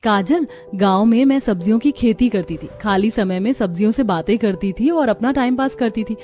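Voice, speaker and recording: Woman as young village daughter-in-law, gentle, earnest, high voice, cartoon drama, music behind. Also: moderate pace, close to an everyday voice.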